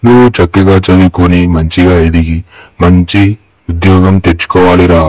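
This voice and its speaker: A man's voice: low-pitched, flat delivery, normal speed, darkish tone, no echo. A man playing a proud, blunt village farmer in a cartoon moral story. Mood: apologetic, kindly. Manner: boastful, blunt, colloquial. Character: arrogant, scolding village farmer who later repents.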